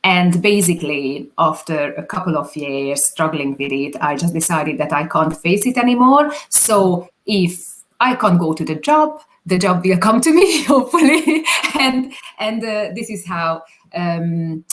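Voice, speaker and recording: A woman's voice: lowish voice, animated, a little slow. A woman with a Hungarian accent, a non-native English speaker, answering interview questions hesitantly with many fillers. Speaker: guest, videographer.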